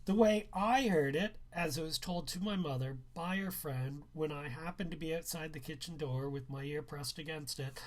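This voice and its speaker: A man reading a first-person novel aloud as its author, with deliberate storytelling phrasing. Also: on the high side, low-quality recording.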